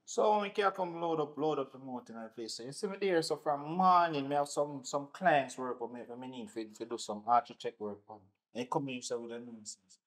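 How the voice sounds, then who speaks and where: A man's voice: medium pitch, a little fast, natural voice. A man with a Jamaican accent playing a defensive, boastful, unfaithful husband.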